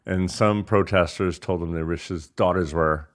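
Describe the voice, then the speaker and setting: A man from Egypt, a podcast guest, explaining things in measured, storytelling fashion. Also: deep voice, steady tone. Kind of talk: casual interview.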